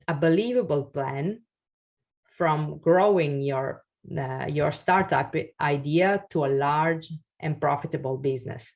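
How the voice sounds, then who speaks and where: A woman's voice: low-pitched, darkish tone. A woman giving a webinar workshop for founders and answering questions, hesitant and full of fillers and restarts, speaking over a video call. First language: Italian. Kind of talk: webinar presentation and Q&A.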